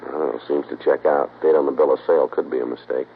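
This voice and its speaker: A no-nonsense detective, very deep, quick and terse, thin 1950s radio sound. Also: male voice, vocal fry. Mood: probing. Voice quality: terse, flat, matter-of-fact. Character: clipped, terse male police detective. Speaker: police detective and narrator. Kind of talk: 1950s radio crime drama narration. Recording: tinny old radio broadcast, faint hiss.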